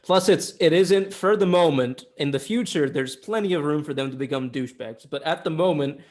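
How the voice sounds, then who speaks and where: A man's voice: fairly high pitch, moderate pace. A man in a casual, opinionated chat as a film review co-host, starting and restarting his sentences.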